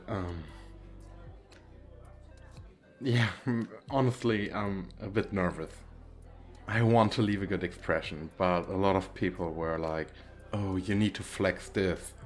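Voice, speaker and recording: A man's voice: lowish voice, close to an everyday voice. A man playing a nervous, bashful, well-off young businessman on a date in an ASMR roleplay, with hesitant, stammering speech. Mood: nervous, flustered. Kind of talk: ASMR roleplay, one-sided conversation with the listener.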